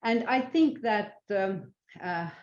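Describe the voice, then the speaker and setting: An older woman whose native language is Swedish, answering interview questions as a senior guest in a measured, halting, thoughtful way. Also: mid-range voice, animated. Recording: roomy echo, dull lo-fi sound.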